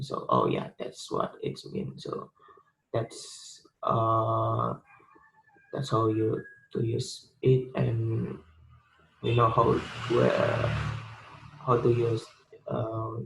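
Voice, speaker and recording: A man's voice: mid-range voice, completely flat delivery, very slow, vocal fry, slight background noise, low-quality recording. A young man with an Indonesian accent, a student giving a spoken class presentation, hesitant, with many fillers and restarts. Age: young adult.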